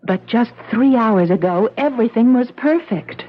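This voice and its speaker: A woman's voice: fairly high pitch, lively intonation, moderate pace. A woman playing a polite, easily flustered real estate agent in a 1970s radio mystery, with a hesitant delivery. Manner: stammering, hesitant. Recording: muffled, noisy 1970s radio recording.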